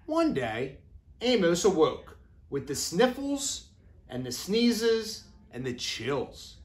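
A man in his thirties reading a children's storybook aloud with deliberate, expressive phrasing, as an educator does for young children. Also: high-pitched. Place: classroom, indoors.

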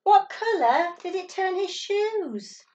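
A woman in her thirties, a teacher, reading a picture book aloud to a child at home, with animated storytelling and dramatic gasps and exclamations. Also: very high-pitched, takes it slowly. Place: small room at home. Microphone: laptop webcam at table distance. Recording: dull lo-fi webcam audio from a distance.

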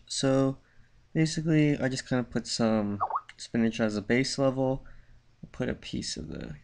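A man's voice: medium pitch, vocal fry, a little mellow. A young man hosting a casual home cooking tutorial, talking in a laid-back way with lots of fillers. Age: young adult. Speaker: cooking show host. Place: cluttered room mid-move, indoors.

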